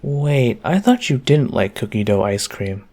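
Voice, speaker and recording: A man's voice: medium pitch, sing-song, unhurried, darkish tone. A man playing an affectionate, easygoing boyfriend in an intimate roleplay audio, talking tenderly and casually straight to the listener. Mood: warm, casual. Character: affectionate easygoing boyfriend home from work on a snowy night.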